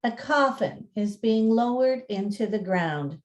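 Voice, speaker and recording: A woman's voice: mid-range voice, animated, a little slow, a little mellow. A woman co-presenting and narrating, moving between informal talk and storybook reading. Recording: roomy echo.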